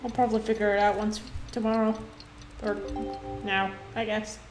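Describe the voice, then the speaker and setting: A woman's voice: mid-range voice, flat delivery, moderate pace, reverberant, music in the background, poor audio. A woman giving casual live-stream commentary as she plays a game.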